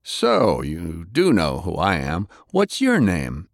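A man voicing a rough, sardonic interrogator who talks in a folksy, taunting rural American way. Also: sits low, huge pitch swings, takes it slowly, no echo, studio quality, full character voice. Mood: menacing, taunting.

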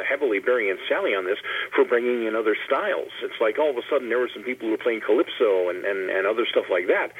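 A man talks over a narrow, tinny telephone connection with a husky edge to his voice. He rambles casually as a roundtable panelist, repeating words as he works through each point. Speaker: panelist, long-time filk musician. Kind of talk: roundtable discussion, casual anecdotes. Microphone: telephone call. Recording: tinny phone line, husky low fidelity.

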